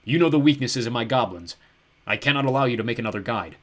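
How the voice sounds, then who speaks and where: A man playing a booming, gloating ogre lord. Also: expressive, fast, a bit bright. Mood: menacing. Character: huge ancient ogre lord, boastful and cruel. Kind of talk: audiobook character voice.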